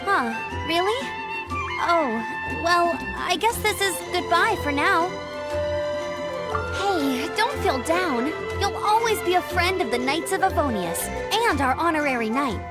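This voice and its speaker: A bubbly young scout. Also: female voice, very high voice, animated, unhurried. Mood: upbeat. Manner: upbeat, friendly. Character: cheerful young female scout. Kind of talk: game cutscene dub. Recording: game cutscene with music behind.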